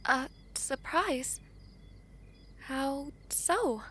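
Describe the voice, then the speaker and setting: A woman playing a gentle, earnest young princess in a romantic audio roleplay, speaking softly and formally with hesitant pauses. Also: sits high, expressive, a little hiss or hum, exaggerated voice. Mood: polite, gracious.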